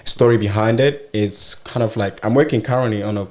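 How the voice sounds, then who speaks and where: Low-voiced man pausing with fillers. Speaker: musician guest. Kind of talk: casual interview talk.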